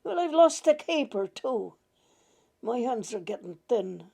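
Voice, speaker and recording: A woman storyteller plays a proud old farm grandmother from a storybook. She is stern and abrupt, giving orders in a raised, creaky voice with slow, firm phrasing. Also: on the high side, very animated, darkish tone, heavily acted. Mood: flat, cross. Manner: abrupt, commanding.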